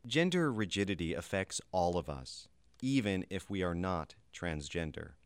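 Male reader, very deep voice, resonant, grave and deliberate, dry close recording. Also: sing-song, clear, resonant tone, no room sound. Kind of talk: scripted documentary reading.

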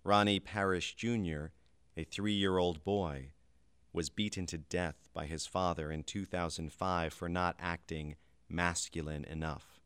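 A man with a very deep, resonant voice reads a documentary passage gravely and deliberately. The recording is dry and close, with no room sound. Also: steady tone, fairly bright tone, no echo. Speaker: reader. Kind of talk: scripted documentary reading.

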